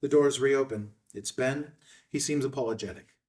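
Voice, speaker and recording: A man's voice: medium pitch, moderate pace, a little mellow. A man reading stage directions as scripted narration at a screenplay table read. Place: indoor filmed table read. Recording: slightly distant room microphone.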